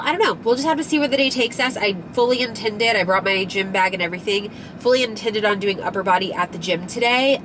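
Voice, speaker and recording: A woman's voice: medium pitch, background noise. Chatty woman, slightly nasal. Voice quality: a little nasal. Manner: chatty, rambling, lots of fillers. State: recovering from a cold. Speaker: lifestyle vlogger. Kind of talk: casual chatty vlog.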